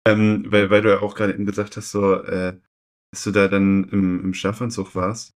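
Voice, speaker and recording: A young man with a fairly low voice talks casually as a podcast co-host. He tells his stories haltingly, circling back and repeating phrases as he finds his words. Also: flat delivery. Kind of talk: casual chat, personal storytelling.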